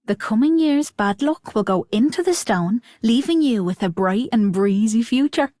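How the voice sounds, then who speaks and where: A woman's voice: on the high side, expressive, normal speed, darkish tone, no echo, studio quality, lightly acted. A woman voicing an earnest, encouraging game character who shares old folk wisdom like a storyteller. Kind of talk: scripted game character greeting.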